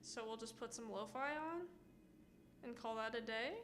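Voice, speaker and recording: A woman's voice: on the high side, moderate pace, a bit bright, a little hiss or hum. A woman hosting a casual let's play voice-acting session, chatting loosely while setting things up. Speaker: host.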